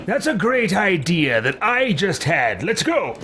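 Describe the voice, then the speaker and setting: A man voicing a self-important, bossy adventurer who takes the credit, in a pompous drawn-out roleplay delivery. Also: high-pitched, very animated, pushed and bright, pitched above the performer's own voice, full character voice. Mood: smug. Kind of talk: in-character game roleplay. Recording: noisy game audio behind voice.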